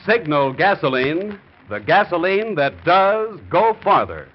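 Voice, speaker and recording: A man's voice: high voice, very animated, a little slow, a little hiss or hum. A man announcing a sponsored mystery show in 1940s radio style. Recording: muffled old radio recording with music.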